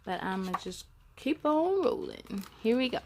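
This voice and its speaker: A woman hosting a storytime, reading a picture book aloud to children in an animated way and speaking directly to young listeners. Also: on the high side, normal speed, a little hiss or hum. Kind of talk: children's read-aloud with asides.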